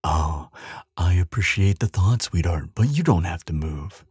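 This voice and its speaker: Gentle giant played by a man, low mellow voice, slow, tender, affectionate, hesitant hums, intimate ASMR roleplay. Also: expressive, breathy edge, no room sound, studio quality, full character voice. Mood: thoughtful, affectionate. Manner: tender, unhurried, hesitant murmuring with soft hums and sighs.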